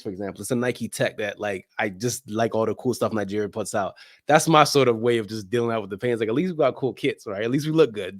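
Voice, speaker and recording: A man's voice: medium pitch, dry recording, studio quality. A man chatting casually as an interview guest, emphatic and slangy, often repeating phrases to drive a point home. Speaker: guest, soccer podcast creator. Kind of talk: animated casual interview chat.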